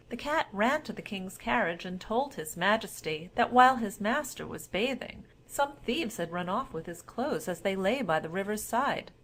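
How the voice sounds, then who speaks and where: A woman's voice: medium pitch, a bit bright. A woman storyteller giving a scripted narration of a classic children's tale.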